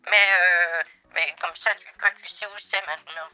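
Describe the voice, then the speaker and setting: A man voicing a doddering elderly man with poor eyesight who speaks haltingly and stammers. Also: sits high, steady tone, sharp tone, smooth, no echo, lo-fi sound, soft background music, voice pushed up. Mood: friendly, puzzled. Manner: hesitant, stammering, drawn-out. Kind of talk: prank phone call.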